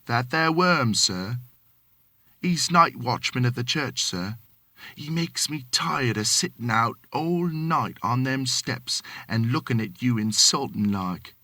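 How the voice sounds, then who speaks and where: A man's voice: medium pitch, lively intonation, slow pace, pushed and bright, no echo, exaggerated voice. A man voicing a nervous, deferential young Cockney servant who keeps calling his master sir. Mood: indignant.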